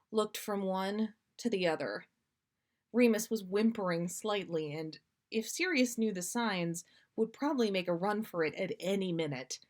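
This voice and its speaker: A woman reading fiction aloud as a storyteller and podcast host. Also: mid-range voice.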